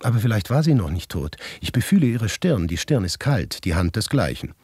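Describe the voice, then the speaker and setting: Deep, husky man narrating dramatically. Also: sing-song, fairly quick, dry recording. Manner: intense, shifting between hushed and agitated. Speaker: actor narrating a radio play in the first person. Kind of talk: dramatic literary radio monologue.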